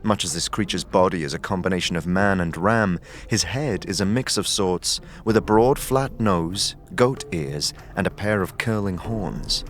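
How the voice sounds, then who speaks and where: A man with a low voice narrates a scripted audio drama in the second person, as a game master would. Music plays clearly behind him. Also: moderate pace, hardly any pauses.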